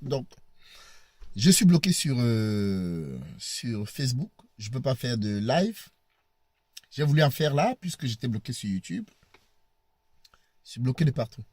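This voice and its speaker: Man, hoarse from a cold, slow halting vlog delivery, animated pitch. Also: dry recording. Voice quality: husky. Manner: halting, repeats words, laughs often. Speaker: video blogger. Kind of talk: casual vlog to viewers. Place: in a parked car.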